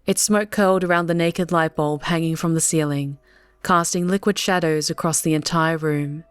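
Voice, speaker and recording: Slightly low-voiced woman narrating fiction. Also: fairly even intonation, moderate pace, clear, resonant tone, no echo. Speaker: audiobook narrator. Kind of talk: scripted story narration. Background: soft music.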